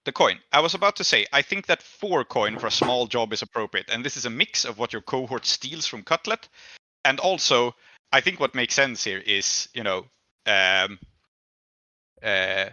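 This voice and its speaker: Male game master, casual and explanatory, very bright forceful voice on a dry, slightly dull recording. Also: medium pitch, expressive, normal speed, no room sound. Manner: explanatory, reasoning out rulings aloud. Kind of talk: casual talk running a tabletop game.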